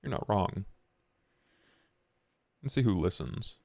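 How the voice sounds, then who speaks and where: Low-key vampire partner, male player, tabletop game. Gravelly, low, terse, matter-of-fact. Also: expressive, slow, a little mellow, no echo, heavily acted. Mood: dry, approving.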